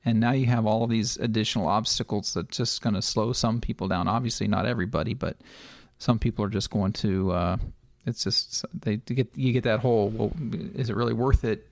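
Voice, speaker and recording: A man's voice: on the low side, fairly even intonation, a little fast, creaky, hardly any pauses, no echo, studio quality. A man hosting a casual interview, talking in a conversational, agreeable way. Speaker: podcast host.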